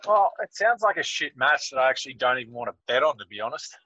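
A man's voice: medium pitch, very animated, fast talker, sharp tone. A man co-hosting a casual podcast, dry and teasing, with a mild grumble. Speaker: podcast co-host. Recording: dry, dull lo-fi sound.

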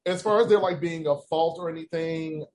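A man hosting a casual discussion, giving emphatic and animated opinions. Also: on the high side, moderate pace, noisy background. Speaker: host.

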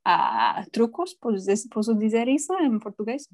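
A woman's voice: on the high side, big pitch swings, moderate pace, no room sound, clean studio sound. Hesitant British woman speaking learned Portuguese. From United Kingdom. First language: English. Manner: hesitant, searching for words with many fillers. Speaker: guest, language teacher. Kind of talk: interview answers.

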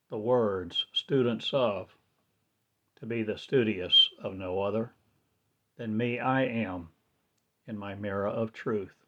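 Slow, low-voiced man chanting a monologue. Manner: chanting, trance-like, grandiose, repetitive phrasing. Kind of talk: slow incantatory spoken-word monologue. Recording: dull lo-fi recording.

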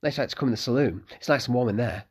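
A man's voice: medium pitch, racing, a little mellow, breathy edge, voice pushed up, natural voice. A man voicing an eager, polite eleven-year-old innkeeper's boy who keeps calling the guests sir.

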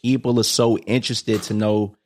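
A man's voice: lowish voice, little pitch movement, normal speed. A man hosting a casual interview, asking conversational questions in short stacked phrases. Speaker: podcast host, interviewer.

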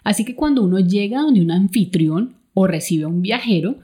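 A woman's voice: medium pitch, lively intonation, normal speed, mellow, slightly rough. A woman with a Colombian Spanish accent hosting a travel podcast in a partly scripted, conversational way. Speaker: podcast host.

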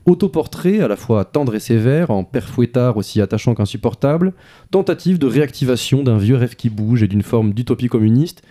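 A man's voice: medium pitch, expressive, fairly quick, warm tone. A man hosting a film discussion podcast who hesitates in debate and reads his scripted review fluently. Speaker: podcast host.

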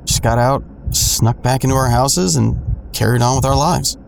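A man's voice: medium pitch, moderate pace, creaky, background noise, natural voice. An actor playing a man who narrates his own troubled story in a first-person audio drama. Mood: reflective. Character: adult man recounting his troubled past. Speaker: narrator and main character.

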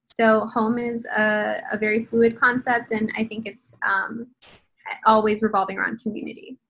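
A woman's voice: a little high, level tone, moderate pace, creaky, a bit bright, low-quality recording. A woman talking informally and reflectively as a guest in a conversational interview. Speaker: guest, community organizer and campaign manager.

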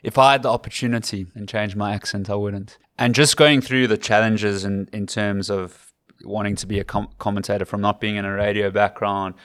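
Low-pitched South African man, dry close sound. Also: fairly even intonation, fairly quick, fairly bright tone, dry recording. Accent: South African, Cape Town. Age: 30s. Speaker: rugby commentator, podcast guest. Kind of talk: conversational interview storytelling.

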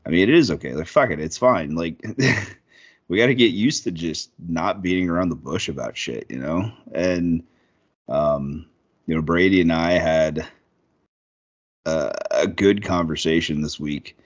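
Deep-voiced man rambling casually. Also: a little slow, lo-fi sound. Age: 30s. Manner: rambling, profane, lots of fillers. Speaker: podcast co-host. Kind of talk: casual podcast chat.

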